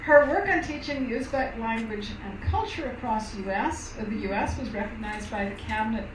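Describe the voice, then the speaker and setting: A woman's voice: on the high side, moderate pace. A woman moderating a university event, introducing a speaker in a chatty way with many fillers. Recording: echoey room from far off, noisy.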